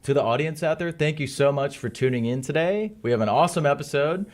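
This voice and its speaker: A man's voice: fairly high pitch, quick pace. A man hosting a talk show, speaking in an upbeat, friendly and eager way as he interviews a guest. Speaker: host.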